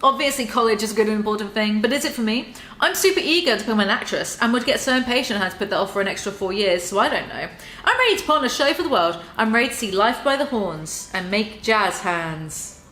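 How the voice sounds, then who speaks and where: A woman's voice: mid-range voice, lively intonation, fast, a bit bright. A woman reading a book aloud in a mock-theatrical way and breaking off for sarcastic commentary. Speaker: YouTuber reading and mocking a book. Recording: roomy echo.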